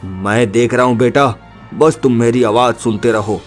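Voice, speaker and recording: A man's voice: mid-range voice, normal speed, faint music behind, natural voice. A man playing a brave woodcutter father in a dramatic cartoon story, earnest, tender and determined. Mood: protective, tender.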